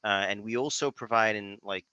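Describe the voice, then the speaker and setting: Male guest, French accent, low but very bright voice, conversational executive explaining things. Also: normal speed, clear, resonant tone, no echo. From France. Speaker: interview guest, company CEO. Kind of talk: conversational interview answers.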